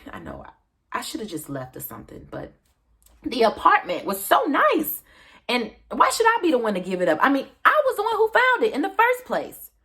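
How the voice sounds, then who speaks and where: An aggrieved American wife, acted by a woman, pleads her case in a darkly comic stage monologue. Her voice sits low, and her delivery is confiding and dramatic, moving between measured explanation and emphatic self-justification. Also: huge pitch swings, vocal fry, fairly bright tone, exaggerated voice. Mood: defensive, indignant.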